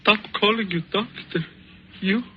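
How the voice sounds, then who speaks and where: An actor playing a weak, sniveling young murderer, pleading in 1940s radio suspense style. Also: male voice, high-pitched, a little slow, highs cut off, slight background noise. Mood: bewildered, whining. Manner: whining, pleading.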